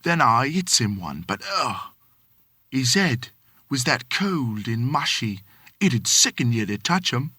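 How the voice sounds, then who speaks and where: A man's voice: medium pitch, very animated, slow, pushed and bright, husky, no echo, studio quality, exaggerated voice. A man voicing a nervous, deferential young Cockney servant who keeps calling his master sir. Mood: agitated.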